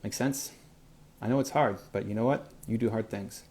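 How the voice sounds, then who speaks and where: A man in his forties hosting a motivational livestream, reading out viewer questions and answering them in an energetic, encouraging, chatty way. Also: on the low side, moderate pace. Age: 40s. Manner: energetic, encouraging, conversational with fillers. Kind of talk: live Q&A answering viewer comments.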